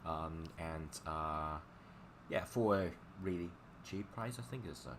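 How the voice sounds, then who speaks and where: A man with a low-pitched voice and Chinese as his mother tongue talks as a language teacher on a livestream. His delivery is casual and halting, often circling back over the same words. Clear background noise runs through the recording. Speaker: language teacher live-streamer. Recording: clearly noisy livestream audio.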